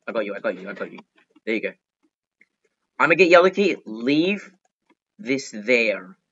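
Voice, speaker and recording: A man giving excitable, chatty gameplay commentary as a gaming video host, talking to himself and often repeating phrases. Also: mid-range voice, normal speed, husky. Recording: dull, lo-fi microphone.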